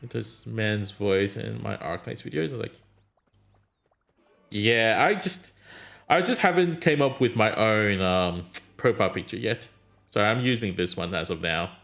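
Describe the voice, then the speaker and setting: A man's voice: lowish voice, unhurried, creaky, a bit bright, soft background music. A man streaming a mobile game, chatting casually and answering his chat in a rambling way. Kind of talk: casual live-stream chat while gaming.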